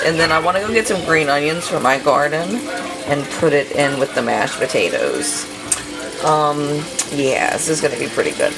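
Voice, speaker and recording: A woman's voice: sits low, low-quality recording. A woman in her thirties chatting casually while she cooks in her home kitchen, talking to herself as she works, recorded on a camera mic. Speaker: cooking vlogger. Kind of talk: casual cooking vlog narration. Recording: home kitchen with running water and noise. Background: kitchen sounds, running tap.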